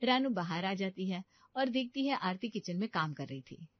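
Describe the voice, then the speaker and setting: A woman's voice: medium pitch, lively intonation, normal speed, dry recording, lo-fi sound. A woman narrating a scripted story as its storyteller.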